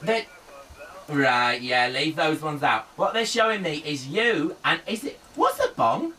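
A man's voice: a little high, sing-song, a little slow, distant mic, background noise. A man playing a camp, flamboyant male psychic, cooing "darling" at callers in a radio comedy bit. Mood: mock-mystical. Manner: camp, mock-mystical, coos "darling" and "sweetheart". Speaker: studio guest psychic. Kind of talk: improvised comedy character on radio.

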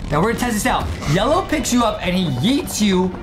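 A young man hosts a gaming video with lively, swinging intonation. He talks casually and excitedly to his viewers, repeating himself as he goes, with music playing behind him. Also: sits high, fairly quick. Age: young adult. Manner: hyped, repetitive, addresses viewers as guys. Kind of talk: excitable, casual gameplay commentary.

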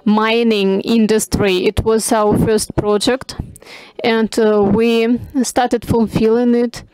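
A woman giving simultaneous interpretation of a panel discussion, halting, with many pauses and restarts. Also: a little high, little pitch movement, darkish tone, clean tone, no echo, poor audio. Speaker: conference interpreter.

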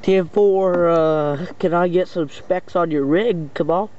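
A man with a high voice calls out on CB radio in short, halting phrases. The sound is dull, with clear static and clicks behind him. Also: a little mellow. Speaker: CB radio operator, video maker. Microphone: camera mic beside a CB radio set.

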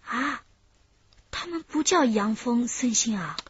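A woman's voice: a little high, lively intonation, takes it slowly, slightly rough, dull audio, exaggerated voice. An actress playing a frightened, evasive young female accomplice being questioned by police in a radio drama. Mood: nervous, evasive. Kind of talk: Chinese radio drama acting.

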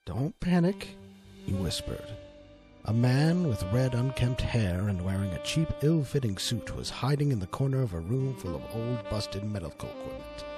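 A man's voice: medium pitch, big pitch swings, normal speed, music in the background, rough recording. A man reading scripted, dramatic story narration as the narrator.

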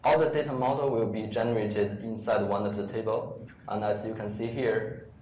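A man's voice: on the low side, fairly even intonation, a little mellow. Male presenter walking through a live demo with lots of filler words, recorded in an echoey hall with faint noise. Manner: halting, many fillers. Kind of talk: live software demo at a meetup. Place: large lecture room with audience.

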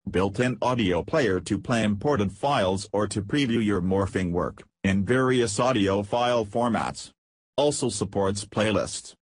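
A man with a fairly low, noticeably rough-edged voice narrates a product demo from a script. The sound is dry and close, with little room in it. He delivers it as short headed segments and feature lists. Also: unhurried, dry recording.

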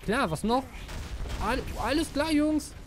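A man giving casual live gameplay commentary, excitable and slangy, dropping in English gamer words. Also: extremely high pitch, takes it slowly, a little hiss or hum. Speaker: let's player.

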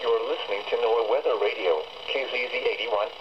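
A man's voice: mid-range voice, brisk. A synthetic-sounding man reading a scripted weather broadcast as a radio announcer. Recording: muffled, very noisy weather radio speaker. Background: loud radio noise.

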